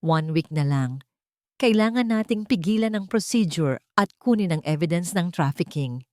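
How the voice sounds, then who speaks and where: Audio drama nanny, read by a woman: lowish voice, earnest pleading, hidden resolve, dry close recording. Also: lively intonation, normal speed, darkish tone, dry recording, lightly acted. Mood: urgent, resolute. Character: determined, pleading nanny secretly plotting revenge. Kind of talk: dramatic audio story dialogue.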